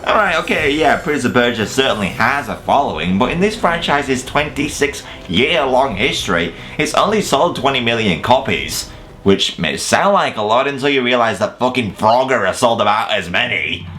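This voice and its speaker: A man's voice: mid-range voice, very animated, moderate pace, bright, forceful tone, slight background noise. A man hosting a scripted countdown review, with casual swearing and mock-incredulous asides. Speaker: video reviewer and host.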